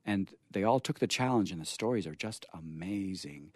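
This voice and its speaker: A man's voice: deep voice, expressive, moderate pace, no room sound, studio quality. A man hosting a radio show in a playful, theatrical, gushing manner. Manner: effusive, gushing. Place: radio studio.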